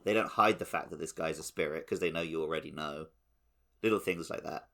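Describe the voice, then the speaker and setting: A man's voice: extremely low pitch, a little fast, gravelly, bright, forceful tone. A man co-hosting a podcast, talking casually and conversationally about a video game. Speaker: podcast co-host.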